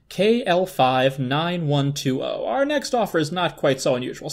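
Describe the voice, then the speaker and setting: A performer voicing an excitable talking radio that imitates a deep announcer, with deliberate broadcast patter and a husky tone.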